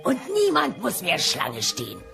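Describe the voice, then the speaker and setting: A woman's voice: mid-range voice, wildly swooping pitch, normal speed, over music, heavily acted. A woman playing a crabby, shrewd old dinosaur grandmother with a dry, theatrical storytelling manner in a comedy audio play. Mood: preaching. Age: older. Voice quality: creaky, gravelly.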